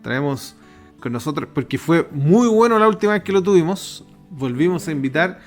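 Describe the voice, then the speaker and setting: A man's voice: a little high, lively intonation, moderate pace, faint music behind. A young man hosting a youth podcast, upbeat and chatty, laughing easily with his co-hosts. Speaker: podcast host. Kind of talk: podcast interview.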